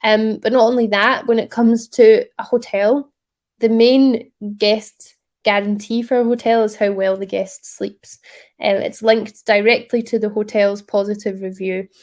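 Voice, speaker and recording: A woman with a Scottish accent presents her startup over a video call. She speaks in a friendly, upbeat, conversational way, filling pauses with ums. Her voice sits at an ordinary middle pitch. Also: fairly even intonation, a little mellow. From Scotland. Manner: upbeat, chatty, frequent ums.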